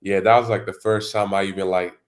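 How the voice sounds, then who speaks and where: A teenage boy, a high school football recruit born in Congo, answering interview questions in a casual, rambling way full of "you know" and "and all that". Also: flat delivery, a little fast. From Democratic Republic of the Congo. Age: teens.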